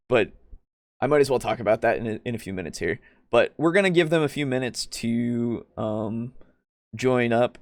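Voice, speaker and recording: A man hosting a casual tabletop gaming livestream, talking in a halting way with jokey, self-deprecating asides. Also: medium pitch, dry recording, studio quality. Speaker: host of a tabletop roleplaying livestream.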